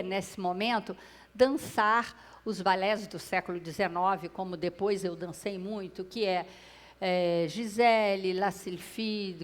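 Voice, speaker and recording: Brazilian woman telling her story to an audience. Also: medium pitch. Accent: Brazilian Portuguese. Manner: lively storyteller who addresses the audience directly. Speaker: speaker at a public talk. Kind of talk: personal storytelling to a live audience. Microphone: clip-on microphone.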